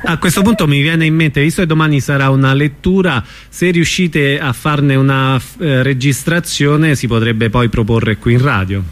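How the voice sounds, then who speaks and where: A man's voice: medium pitch, normal speed, few pauses. A man, a radio host interviewing a guest informally from the studio.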